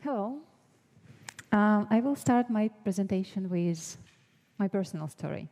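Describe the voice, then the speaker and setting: A woman in her thirties with a Ukrainian accent, giving an earnest, deliberate prepared presentation as a guest speaker. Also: mid-range voice, animated, mellow. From Mariupol, Ukraine. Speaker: guest speaker, broadcast board member. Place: large conference hall, on stage. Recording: long hall reverb.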